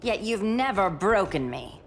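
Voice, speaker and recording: An actress voicing a sly, cool-headed cat burglar in a video game, wry, teasing and controlled. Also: female voice, medium pitch, sing-song, unhurried, slight background noise. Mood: defiant. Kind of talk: video game cutscene acting. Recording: loud music behind the voice.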